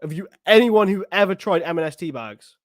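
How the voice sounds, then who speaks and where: A man's voice: high voice, animated, brisk, no room sound, clean studio sound. A young English man, a student, co-hosting a casual football podcast, laughing easily and arguing back playfully. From England. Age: young adult. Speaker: podcast co-host. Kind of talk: casual banter and football chat.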